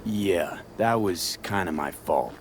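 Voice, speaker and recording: A man's voice: sits low, takes it slowly, a little hiss or hum. A man playing a brooding but sincere streetwise mercenary in a video game, speaking in a casual, clipped, earnest way. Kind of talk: naturalistic video game voice acting.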